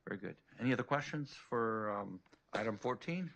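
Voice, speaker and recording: Man, low voice, board chair, informal meeting remarks. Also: lively intonation, a little fast, vocal fry, a bit bright, muffled recording. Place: public board meeting room.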